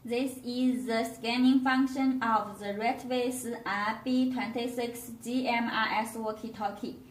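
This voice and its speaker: A woman giving a step-by-step product tutorial as a demonstrator, with halting non-native phrasing. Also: on the high side, fairly even intonation. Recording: roomy echo, distant microphone.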